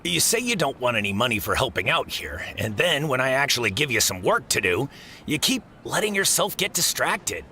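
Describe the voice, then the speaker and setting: A man's voice: medium pitch, big pitch swings, a little fast, sharp tone, a little hiss or hum, full character voice. A man voicing an exasperated fruit shop boss in a video game, scolding but fair, in colloquial speech. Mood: grumbling, weary. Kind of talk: English video game voice acting.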